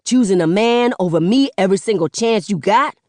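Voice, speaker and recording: A woman voicing a hurt young man who shouts and swears at his mother. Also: mid-range voice, lively intonation, normal speed, no room sound, studio quality, lightly acted. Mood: angry.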